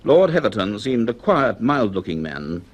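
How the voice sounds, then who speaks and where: A male actor with a British accent playing an earnest university scientist in a radio serial, speaking in a measured, precise and formal way. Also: lowish voice, very animated, muffled recording. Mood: reflective narration. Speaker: actor, lead and narrator.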